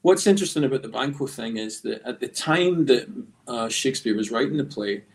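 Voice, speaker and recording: A man talking as a guest artist on a podcast, telling stories in a halting, reflective, discursive way. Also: mid-range voice, animated. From Scotland. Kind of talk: conversational storytelling in a podcast interview.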